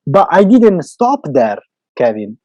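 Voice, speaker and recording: A man's voice: a little high, big pitch swings, slow pace, a little mellow, dry recording, lo-fi sound. A man in his twenties from Italy, speaking English with an Italian accent as a podcast host. He is eager and talkative, and he searches for words. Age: 20s. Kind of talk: casual podcast chat.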